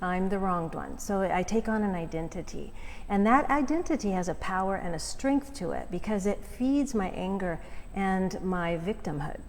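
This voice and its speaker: A woman's voice: mid-range voice, expressive, moderate pace. A woman speaking as a guest coach, explaining conversationally and often checking in with the listener. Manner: outgoing, often checks in with "right?". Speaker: forgiveness coach, interview guest. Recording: echoey hall with clear background noise.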